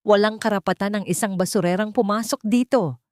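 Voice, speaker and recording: A woman with a Filipino accent voicing an arrogant, panicked groom who shouts orders in an audiobook story. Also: medium pitch, fairly quick, dry recording, clean studio sound, voice pushed up, lightly acted. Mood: panicked fury.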